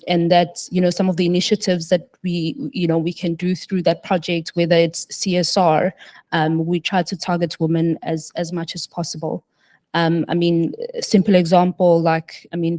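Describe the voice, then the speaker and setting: Low, even-toned woman on a panel. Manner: hesitant, many fillers and restarts. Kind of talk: panel discussion answer.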